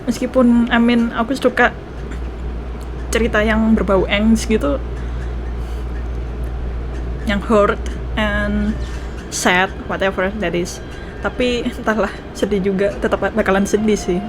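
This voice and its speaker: A young woman with an Indonesian accent giving casual, expressive and emotional gameplay commentary, mixing languages. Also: medium pitch, measured pace, pauses often, background noise. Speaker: game streamer. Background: game music.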